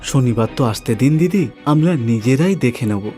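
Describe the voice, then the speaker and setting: A man's voice: medium pitch, lively intonation, normal speed, mellow, soft background music, close to an everyday voice. A man voicing a bold, determined young husband in a cartoon ghost story, speaking in a declamatory way. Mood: confident. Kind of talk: animated ghost story dialogue.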